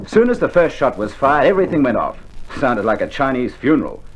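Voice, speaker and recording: An actor playing a seasoned, unflappable Australian sergeant major, laconic and droll, in an old radio war play. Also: male voice, mid-range voice, very animated, fairly quick, lightly acted. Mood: amused. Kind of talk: 1960s military radio play acting. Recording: slightly noisy old vinyl recording.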